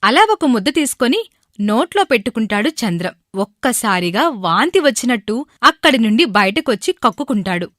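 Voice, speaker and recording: Fairly high-pitched woman story narrator. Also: sing-song, a little fast, a bit bright, no room sound, clean studio sound. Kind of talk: scripted moral story narration.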